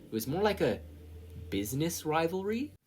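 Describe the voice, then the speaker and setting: A narrator with a husky voice reading fan fiction as scripted audiobook-style narration. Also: medium pitch, big pitch swings, moderate pace, darkish tone, a little hiss or hum.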